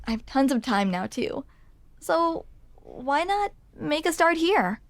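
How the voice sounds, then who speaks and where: A woman's voice: high voice, sing-song, takes it slowly, vocal fry, no echo, heavily acted. A young woman playing a grumpy, smitten barista in an intimate ASMR roleplay, awkward and hesitant as she confides in the listener. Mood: relieved, grateful. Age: young adult. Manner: awkward, hesitant, self-deprecating, confessional.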